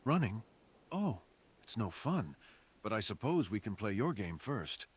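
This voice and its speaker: A man's voice: lowish voice, big pitch swings, unhurried, slightly rough, full character voice. A man playing a fox assassin in an ASMR roleplay, speaking theatrically and intimately to the listener, savoring his phrases. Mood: taunting, predatory. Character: menacing, sadistic male fox assassin with a remorseful streak. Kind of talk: ASMR roleplay, close dramatic monologue to the listener.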